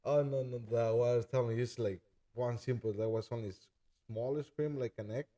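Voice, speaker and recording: A man from Mexico with a Mexican Spanish accent, a guest speaking in halting, broken English while searching for words. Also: mid-range voice, fairly even intonation, warm tone. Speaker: guest, food truck co-owner.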